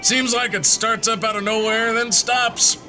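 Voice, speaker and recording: An actor playing a teasing, folksy regular at a small-town diner in an audio drama. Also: male voice, very high voice, little pitch movement, normal speed, music in the background. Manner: ribbing, folksy.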